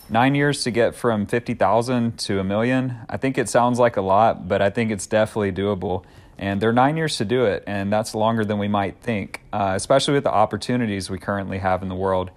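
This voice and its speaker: A man in his thirties hosting a solo podcast, talking in an earnest, upbeat, conversational way with occasional fillers. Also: fairly low pitch. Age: 30s. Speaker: podcast host.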